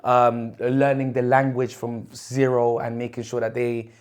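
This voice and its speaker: A man's voice: medium pitch, completely flat delivery, a little slow. A young man from Colombia, a podcast guest telling stories in casual chat with many fillers and restarts.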